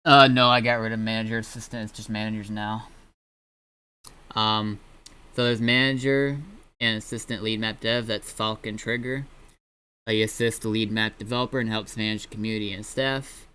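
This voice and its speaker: A man who streams, talking casually in a halting, rambling way and often backtracking mid-sentence. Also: on the low side, level tone, pushed and bright, clear, resonant tone. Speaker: streamer and roleplay server founder. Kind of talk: casual livestream chat.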